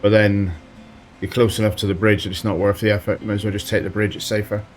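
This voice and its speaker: A man with a slight sore throat giving relaxed commentary while playing a driving game, thinking aloud as he goes. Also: fairly low pitch, fast talker, faint music behind. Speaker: let's play host. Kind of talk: casual gameplay commentary.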